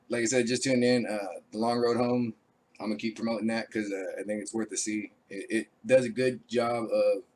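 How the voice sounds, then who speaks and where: A man who is an army veteran hosting his own show, talking casually and rambling with many fillers, his voice raspy from a cold. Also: fairly low pitch, slight background noise. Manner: laid-back, rambling, frequent uh fillers. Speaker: show host. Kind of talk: casual live-stream talk.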